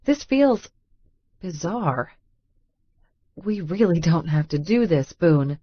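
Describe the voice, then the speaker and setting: A woman narrates a first-person romance story in a lowish voice. The recording is very dry and a little dull, with no room around her. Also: sing-song, takes it slowly, breathy edge, no room sound. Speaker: audiobook narrator.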